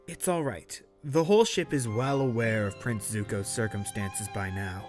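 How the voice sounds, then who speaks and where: A man playing a softly spoken, reassuring ship's cook with a wry streak in an audio drama. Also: fairly low pitch, normal speed, soft background music, close to an everyday voice.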